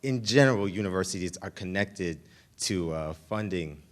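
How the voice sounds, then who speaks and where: A man's voice: sits low, expressive, moderate pace. A young man, a college senior, asking a hesitant audience question full of fillers. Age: young adult.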